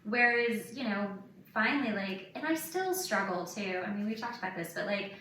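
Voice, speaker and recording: Echoey, bright-voiced woman chatting candidly. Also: mid-range voice, expressive, moderate pace. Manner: candid, emphatic, peppers speech with "like". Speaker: podcast host. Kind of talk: casual, confessional podcast chat. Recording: roomy echo, distant mic, faint noise.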